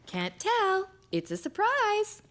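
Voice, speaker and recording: A woman's voice: very high voice, wildly swooping pitch, takes it slowly, a bit bright, slight background noise, full character voice. A woman playing a cheerful, kind mother in a children's cartoon, pleasant and affectionate. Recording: music behind the voice.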